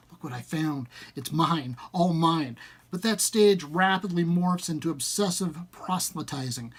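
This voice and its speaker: A man presenting a book like an enthusiast publisher, part casual pitch and part reading aloud, with expressive, emphatic delivery. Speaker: small-press horror and fantasy publisher presenting a book.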